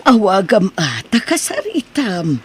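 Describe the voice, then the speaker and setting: A woman's voice: mid-range voice, very animated, unhurried, slightly rough, heavily acted. A woman playing a concerned older mother soothing her grown son in an Ilocano radio drama.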